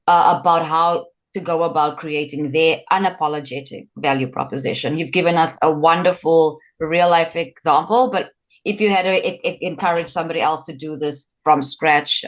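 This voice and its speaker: Low-voiced woman interviewer, dull recording. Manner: hesitant, stumbling over words. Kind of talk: interview question.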